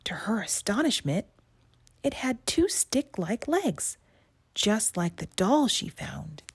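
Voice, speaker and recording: A woman reading a children's picture book aloud in an expressive narrating style. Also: medium pitch, slow pace, husky, no room sound. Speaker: storybook reader.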